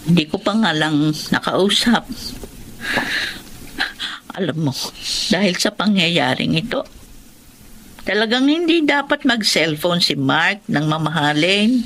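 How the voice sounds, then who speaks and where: A woman's voice: on the low side, lively intonation, measured pace, pauses often, a bit bright. A woman playing a caring older mother of a grown son in a Tagalog radio drama. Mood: caring, approving.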